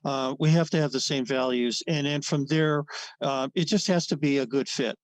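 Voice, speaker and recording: A man's voice: medium pitch, moderate pace, no room sound. A man in his seventies, a dentist guest, talking in a casual, rambling way with many fillers and restarts. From Ohio. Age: 70s. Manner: chuckles often. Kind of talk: casual interview conversation.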